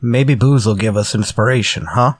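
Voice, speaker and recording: A man's voice: mid-range voice, normal speed, lightly acted. An actor playing an easygoing, casual male pal in a retro radio drama. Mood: helpful, casual. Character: easygoing male drinking buddy offering ideas.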